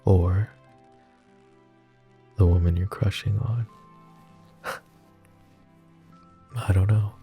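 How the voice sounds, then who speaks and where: A man playing a flirty, possessive mafia boss who is smitten and vulnerable, speaking in soft, teasing, intimate ASMR roleplay. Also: fairly low pitch, steady tone, drawn-out words, long pauses, soft background music, full character voice. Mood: warm, flirty. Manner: soft teasing banter with breathy chuckles.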